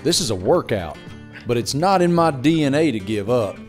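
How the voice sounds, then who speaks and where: Male host voice-over, joking at his own expense, lively delivery, music bed. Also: mid-range voice, moderate pace. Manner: playful, self-mocking. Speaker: host narrator. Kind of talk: voice-over narration.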